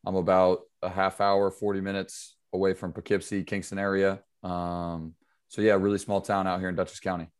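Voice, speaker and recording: Easygoing low-voiced man. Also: moderate pace, smooth. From upstate New York, USA. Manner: relaxed, loose, filler-heavy talk. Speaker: basketball trainer, guest. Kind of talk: casual interview chat.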